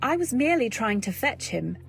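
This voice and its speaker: A woman narrating and acting a young wife in an old-time radio drama, earnest, anxious, and gentle. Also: fairly high pitch, sing-song, brisk, vocal fry, soft background music. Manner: earnest first-person storytelling, anxious and gentle. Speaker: narrator and actor.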